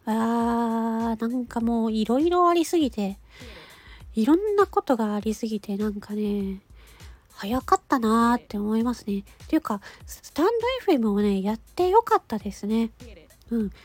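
A woman with a nasal, stuffy, cute anime-like voice chats casually and politely to listeners in short, halting phrases. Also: a little high, lively intonation, faint music behind. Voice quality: cute, cartoon-like voice. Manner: polite, giggly, halting phrases ending in a soft filler. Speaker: amateur voice actor hosting a personal audio channel.

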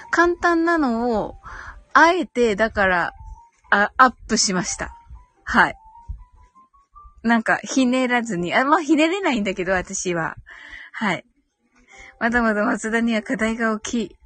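A woman's voice: fairly high pitch, a bit bright. A woman hosting a casual live audio stream, reading out listener comments and replying in a polite, agreeable way with frequent little murmurs of acknowledgement. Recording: music playing behind the voice. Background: background music.

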